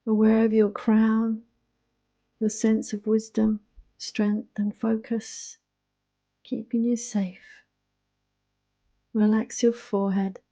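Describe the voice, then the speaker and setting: Very soft, mellow woman guiding meditation. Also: fairly high pitch, completely flat delivery, no room sound. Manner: soothing, hushed, unhurried delivery. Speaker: yoga nidra guide. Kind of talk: guided relaxation meditation.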